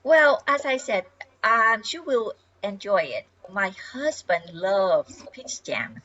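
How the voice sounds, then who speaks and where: An older woman playing a polite, confident thirties housewife new to the neighborhood in an amateur radio comedy, husky and genteel, on slightly dull audio. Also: medium pitch, lively intonation, slow pace, sharp tone, heavily acted. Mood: polite, pleasant.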